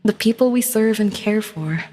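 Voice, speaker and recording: A woman voicing a gentle, dutiful young crown princess in a fantasy audio story, with formal, refined courtly phrasing. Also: medium pitch, moderate pace, in a large hall, lightly acted. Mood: wistful, troubled.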